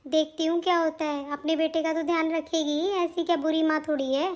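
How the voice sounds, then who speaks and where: A woman's voice: sits high, level tone, brisk, lightly acted. A woman voicing a fretful, affectionate older mother-in-law in a family cartoon, with a plaintive, homely way of talking. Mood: resigned. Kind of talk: Hindi family cartoon story dialogue.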